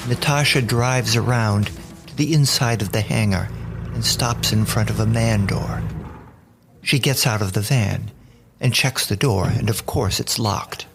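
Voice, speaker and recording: A man's voice: on the low side, unhurried, creaky, noisy background. A man narrating a scripted audio drama as the storyteller. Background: music bed.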